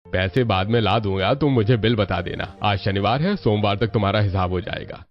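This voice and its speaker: Low-voiced family father, played by a man. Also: a little fast, natural voice. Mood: matter-of-fact. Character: practical, easygoing middle-aged father of the family. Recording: dull lo-fi audio with music behind.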